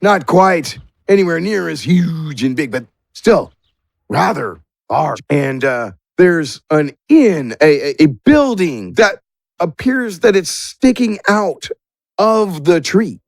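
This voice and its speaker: A man's voice: a little high, sing-song, takes it slowly, creaky, no echo, clean studio sound. A man working as game master, narrating a tabletop fantasy game in improvised, conversational descriptions. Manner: conversational, feeling his way through descriptions. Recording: music under the voice.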